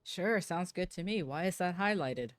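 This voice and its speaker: Woman game streamer, low pitch, very dry close sound, casual playthrough chat, offhand playful asides. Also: animated, normal speed, no room sound. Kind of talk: casual playthrough chat, reading dialogue choices aloud.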